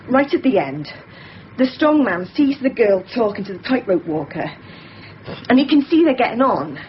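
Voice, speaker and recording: An actress in a radio drama playing an intense woman who tells stories earnestly in halting, emphatic short phrases. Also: mid-range voice. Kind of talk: naturalistic 1990s radio drama acting. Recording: dull radio recording, faint noise.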